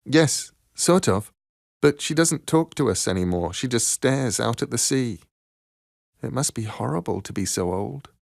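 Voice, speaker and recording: A man's voice: mid-range voice, lively intonation, measured pace, creaky, dry recording, studio quality. A man reading the part of an earnest, wistful young girl in a storybook tale. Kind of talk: storybook character voice.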